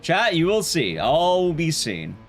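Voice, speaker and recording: A man talks as a livestream host in a casual, slangy way. His pitch moves around a lot, swinging from emphatic points to teasing hints. Music plays softly behind his voice. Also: wildly swooping pitch, measured pace. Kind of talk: casual game stream commentary, chatting with viewers.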